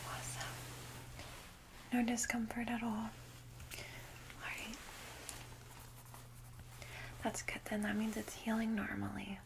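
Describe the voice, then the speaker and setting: A woman plays a kindly female vampire welcoming a newcomer in an ASMR roleplay. Her whisper is soft, breathy and fairly high, with an even, soothing line and hesitant, informal phrasing. Clear background noise sits behind the close microphone. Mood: gentle, attentive. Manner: gentle, hesitant, reassuring, informal. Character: friendly, caring female vampire guide, gently reassuring.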